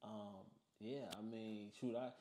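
A man's voice: on the low side, steady tone, slow, mellow, slight background noise. A man interviewing a guest in a casual, slangy, conversational way. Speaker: interviewer, podcast host. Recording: roomy indoor echo.

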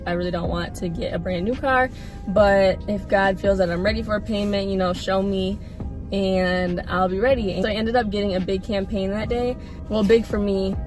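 Brief: woman in her 20s, casual car vlog, earnest rambling chat, mid pitch, phone mic in a parked car. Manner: chatty, earnest, many fillers and repeats. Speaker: vlogger. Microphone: phone held at arm's length or mounted on dashboard.

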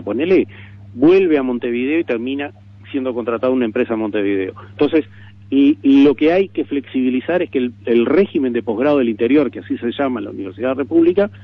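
Uruguayan man on tinny narrowband radio. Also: mid-range voice. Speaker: political interviewee. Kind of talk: radio interview, explanatory.

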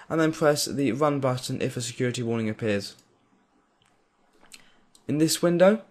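A man giving a clear step-by-step voice-over for a software tutorial. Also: mid-range voice. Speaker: tutorial creator. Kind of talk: screen-recorded software tutorial.

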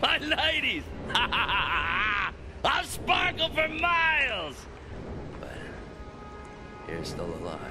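An actor playing a flamboyant, manic race boss in a video game, theatrical and wheedling, swinging from sly muttering to shrieks. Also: male voice, very high voice, huge pitch swings, extremely slow, pauses often, full character voice. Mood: gleeful. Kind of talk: video game character acting. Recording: music playing behind the voice.

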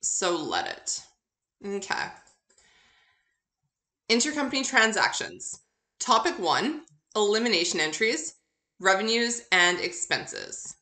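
A woman teaches in a recorded lecture with a bright, clear-cut tone and a medium pitch. She explains conversationally, often asking a question and then answering it. The room adds a noticeable echo around her voice. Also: sing-song, takes it slowly, long pauses. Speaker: university accounting instructor.

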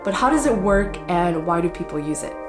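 A woman's voice: on the low side, lively intonation, brisk, music in the background. A young American woman hosting with scripted educational narration. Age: young adult. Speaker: host. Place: wood-panelled room indoors.

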